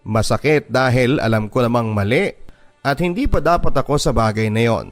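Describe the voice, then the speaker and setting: A man with a Filipino accent narrating a first-person story as a scripted radio drama. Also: medium pitch. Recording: music behind the voice. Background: background music.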